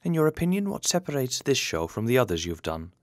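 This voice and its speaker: A man's voice: mid-range voice, very animated, fast, no room sound, clean studio sound. A man reading out scripted questions as an interviewer.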